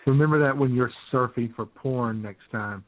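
A man's voice: medium pitch, normal speed, dry recording. A man co-hosting an internet radio show with casual, irreverent, wisecracking comedic banter. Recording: thin phone-like internet radio sound.

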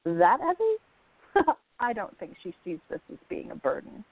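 A woman's voice: medium pitch, wildly swooping pitch, measured pace, husky, exaggerated voice. An actress playing a supportive, teasing young woman in a radio play, on a thin recording. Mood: teasing, encouraging. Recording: thin, phone-like audio.

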